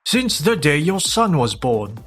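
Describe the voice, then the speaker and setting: A composed divorce lawyer played by a man speaks formally and to the point. It is manga drama acting, calm and professional, over faint music. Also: a little high, measured pace, slightly rough, exaggerated voice. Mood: firm, factual.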